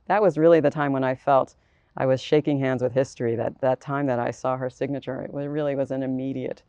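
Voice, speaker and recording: A woman's voice: very deep voice, steady tone, fast talker, mellow, no room sound, muffled recording. A woman guest author speaking thoughtfully in a panel discussion, telling stories in an articulate, reflective way.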